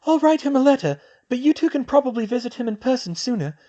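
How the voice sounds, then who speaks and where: This is a man reading a clever, determined teenage witch, decisive and organized. The voice sits high for a man and moves lively up and down. She sounds fast and sure of her plans. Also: dull audio. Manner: brisk and decisive. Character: sharp, determined teen witch, organized planner.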